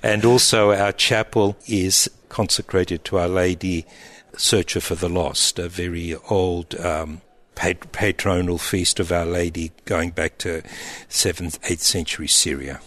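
A middle-aged man, an abbot speaking in an interview in a thoughtful, halting way with frequent restarts. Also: deep voice, steady tone, a bit bright. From Egypt, raised in Australia. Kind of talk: reflective interview answers.

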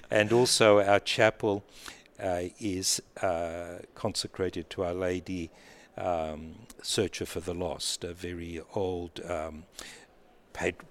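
Middle-aged man, Australian accent, lowish pitch; a reflective monastic abbot who pauses and searches for words; faint noise floor. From born in Egypt, raised in Australia. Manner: thoughtful, hesitant with many fillers. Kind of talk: conversational interview.

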